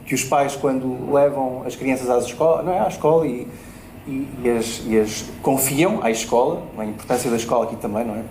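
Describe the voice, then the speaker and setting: A man with a European Portuguese accent speaks as a debate panelist, pausing often with fillers and tag questions. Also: mid-range voice, darkish tone. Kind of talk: public panel discussion. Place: event space at a bookshop. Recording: roomy hall with faint noise.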